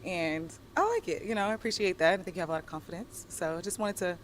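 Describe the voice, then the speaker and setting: A woman's voice: lowish voice, quick pace, a little hiss or hum, rough recording. A woman of thirty, a dating show contestant, talking upbeat and complimentary. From Atlanta, raised in Barbados. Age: 30s.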